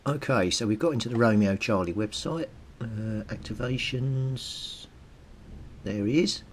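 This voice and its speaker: A man's voice: measured pace, slightly rough. A British man giving a casual how-to commentary, thinking aloud and muttering informally to himself as he works. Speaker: hobbyist video host. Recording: steady background noise with low rumble.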